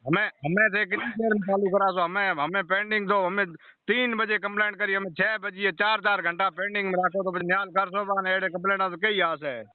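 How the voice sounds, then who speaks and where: A man with a Rajasthani accent complains on a narrow, tinny phone line in colloquial dialect. His voice is fairly high and clear, and he keeps insistently repeating his grievance in exasperation. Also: fairly even intonation, fairly quick. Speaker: customer phoning in a power-cut complaint. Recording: muffled, band-limited phone call recording.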